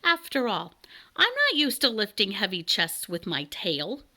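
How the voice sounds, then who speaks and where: A woman's voice: medium pitch, wildly swooping pitch, measured pace, pushed and bright, full character voice. A woman voicing a proud, slightly cross male dragon in a children's storybook, sounding self-important. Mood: huffy.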